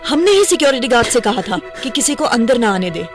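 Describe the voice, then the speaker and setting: A woman's voice: a little high, very animated, brisk, music in the background. A woman playing a composed, authoritative investigator questioning people in a radio drama. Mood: firm, questioning.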